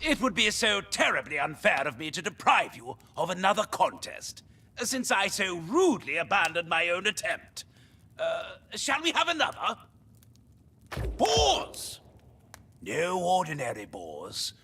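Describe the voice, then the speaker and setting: An actor playing a boastful, jovial gentleman hunter in a video game, with a pompous, theatrical, blustering manner. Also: high voice, vocal fry, husky, heavily acted. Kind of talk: video game character acting.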